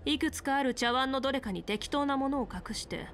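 A woman's voice: high-pitched, slight background noise, lightly acted. Resolute, commanding female general, played by a woman. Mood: confident, explaining. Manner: authoritative, measured explaining. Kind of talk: Japanese anime game voice acting. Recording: music behind.

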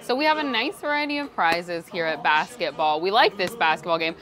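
A woman's voice: fairly low pitch, expressive, brisk, fairly bright tone. A woman co-hosting a theme park vlog from behind the camera, bubbly and enthusiastic. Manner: bubbly, enthusiastic, laughs often. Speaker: theme park vlogger, co-host. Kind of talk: casual vlog chat. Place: outdoor theme park game booth.

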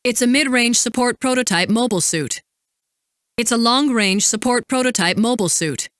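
An actress playing a composed, dutiful woman military supply officer in a video game, giving crisp, formal, commanding briefings and callouts. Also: fairly high pitch, normal speed, fairly bright tone, no echo, studio quality, lightly acted.

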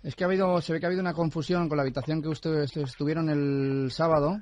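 Man, Spain accent, higher-pitched voice, very rapid, hesitant yet pushy, repetitive, phone prank delivery. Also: fairly even intonation, darkish tone. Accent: Spanish from Spain. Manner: hesitant, insistent, repeating himself. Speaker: radio prank caller. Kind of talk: prank phone call posing as a hotel manager.

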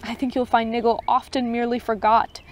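A woman's voice: fairly high pitch, flat delivery, fairly quick, slight background noise, natural voice. A woman voicing a severe, stern unseen official who judges a case with cold, critical remarks.

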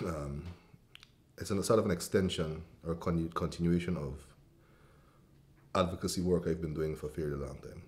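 A Guyanese man in his forties with a very low, deep voice speaks as a writer in a seated interview. He talks slowly and thoughtfully, pausing on ums and restarting phrases, with a cough now and then. He is recorded indoors in a room with a brick fireplace and bookshelves. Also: fairly even intonation. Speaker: writer and cultural policy advisor.

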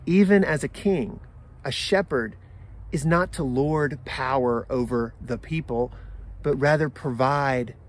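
A man in his forties with an American accent, a pastor giving a sermon in measured phrases with deliberate pauses. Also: mid-range voice. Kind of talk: sermon for an online service. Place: outdoors in a garden.

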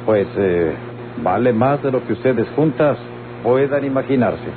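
An actor playing a wealthy Mexican hacienda owner in an old radio drama, formal, insistent and persuasive. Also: male voice, mid-range voice, animated, a little slow, lightly acted. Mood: smooth persuasion. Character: wealthy, persuasive male hacienda owner pressing women to sell. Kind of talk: old radio-novela acting. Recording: noisy, humming, tinny old radio recording.

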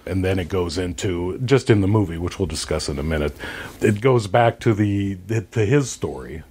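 A man with a fairly low voice speaks as a guest in a casual discussion. He explains things conversationally and somewhat haltingly, backing up to correct himself along the way. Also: darkish tone, husky. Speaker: podcast guest. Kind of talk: casual conversational movie discussion, retelling a story.

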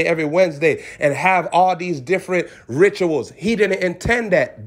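A man preaching as a self-styled prophet in an impassioned exhortation, emphatic and repeating short phrases for effect. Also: fairly high pitch.

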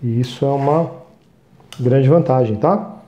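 A man in his thirties with a Brazilian accent explains a recipe in a chatty, viewer-friendly way. His voice is mellow and relaxed, and he is picked up by a lapel mic in a small, echoey studio kitchen. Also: medium pitch, a little slow. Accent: Brazilian Portuguese. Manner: chatty, talks to viewers as "gente", frequent "tá?" checks. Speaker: cooking show host. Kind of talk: cooking demonstration.

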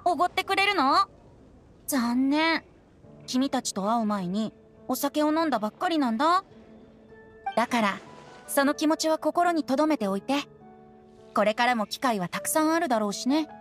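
Youthful boy bard, light high voice, playful, sly, breezy, game music behind. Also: expressive. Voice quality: light, boyish. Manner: breezy, teasing, unhurried. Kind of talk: anime game character acting.

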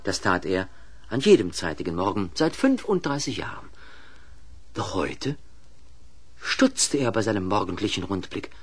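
A man narrating a children's radio play in an expressive storytelling manner. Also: lowish voice, normal speed, long pauses, husky.

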